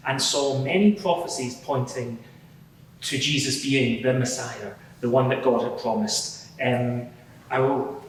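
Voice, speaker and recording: Guy acting earnest rabbi witness in courtroom drama, halting explainy delivery, super echoey and far from mic. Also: medium pitch, fairly bright tone. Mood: earnest, explanatory. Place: large reverberant courtroom before a live gathering. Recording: echoey hall, distant mic, faint noise.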